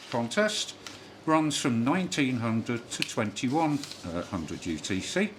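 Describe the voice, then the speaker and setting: A man reading a scripted amateur radio news bulletin in a careful, formal way, spelling things out phonetically. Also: fairly low pitch. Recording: noisy shortwave single-sideband radio broadcast.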